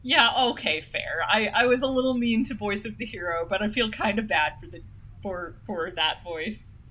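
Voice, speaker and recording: A young woman streaming a game, chatty and playful, reacting quickly to the story. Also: mid-range voice, pushed and bright, rough recording. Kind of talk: live game commentary. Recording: voice with game music behind.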